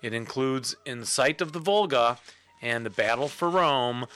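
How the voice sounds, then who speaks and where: A man's voice: medium pitch, measured pace, fairly bright tone, smooth. A man co-hosting a podcast, chatting casually and tossing in dry, wisecracking remarks. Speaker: podcast co-host. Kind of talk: casual comedic chat.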